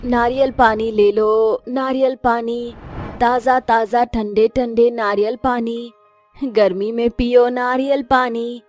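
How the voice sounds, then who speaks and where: A woman's voice: a little high, fairly even intonation, takes it slowly, a little mellow, soft background music. A woman voicing a humble, earnest old mother-in-law in a cartoon moral story. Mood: calling out wares. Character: humble, hardworking old widowed mother-in-law selling coconut water. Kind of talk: animated moral-story voice acting.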